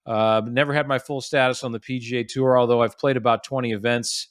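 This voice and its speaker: A man's voice: medium pitch, steady tone, brisk, no echo. Chatty man in his forties, dry close sound. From United States. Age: 40s. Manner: chatty storyteller with frequent restarts and fillers. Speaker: guest, golf professional. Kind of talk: casual podcast interview.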